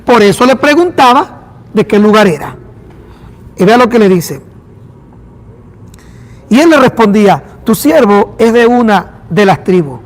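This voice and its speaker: A man with a Venezuelan accent and a high voice preaches to his congregation. He acts out little dialogues as he goes. The sound rings with a long reverb from a big hall. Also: measured pace, long pauses. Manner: animated preaching with acted-out dialogue. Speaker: pastor. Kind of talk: sermon.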